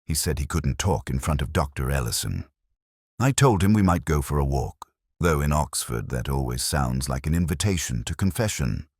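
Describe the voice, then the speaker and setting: Very deep-voiced brooding detective played by a man. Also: lively intonation, slightly rough, no room sound, clean studio sound, full character voice. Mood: brooding, reflective. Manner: measured, literary, melancholy. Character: brooding, erudite male police detective narrating his case. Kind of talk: scripted first-person mystery narration.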